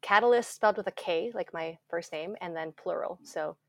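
A woman's voice: lowish voice, normal speed, dry recording. A woman speaking as a podcast guest in a plain, matter-of-fact way. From Canada. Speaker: guest correspondent. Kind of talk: podcast interview.

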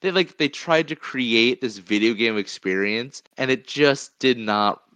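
A man's voice: mid-range voice, measured pace, bright, forceful tone, dry recording, muffled recording. A man co-hosting a casual podcast, talking in an enthusiastic, rambling way. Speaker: podcast co-host. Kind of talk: casual podcast chat about movies.

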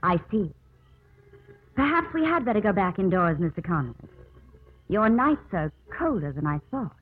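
A poised, genteel newlywed lady played by a woman in a 1950s radio play. She is gracious and forward, with a polished mid-pitched voice. The sound is thin and tinny. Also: very animated, moderate pace, lightly acted. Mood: cool, slighted. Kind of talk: 1950s radio drama acting.

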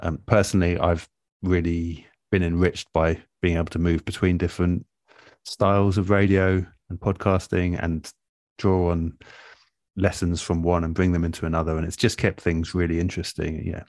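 A man speaking as an interviewed radio producer in a relaxed, reflective way, thoughtful and measured, often pausing mid-phrase. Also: deep voice, dry recording, studio quality.

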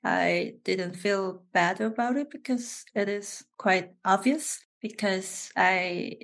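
Slow-speaking Korean-accented woman. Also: mid-range voice, vocal fry, a bit bright. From South Korea. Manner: halting, with frequent restarts and laughs. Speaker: guest. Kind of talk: casual interview.

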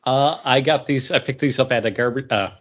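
A man co-hosting a podcast, explaining things casually in a halting way. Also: mid-range voice, fairly bright tone, lo-fi sound, slight background noise. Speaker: podcast co-host. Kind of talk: casual chat.

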